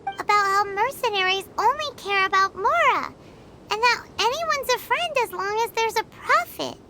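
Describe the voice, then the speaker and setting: A woman's voice: very high voice, lively intonation, normal speed, background noise. A woman voicing a small, chatty female fairy companion in a video game cutscene, eager and gushing and referring to herself in the third person. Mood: excitable.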